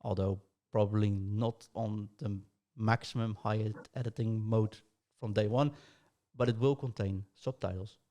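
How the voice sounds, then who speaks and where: A man's voice: lowish voice, steady tone, unhurried, warm tone. A man with a Dutch accent hosting a podcast, laying out show plans in a rambling, casual way with many fillers. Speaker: podcast host.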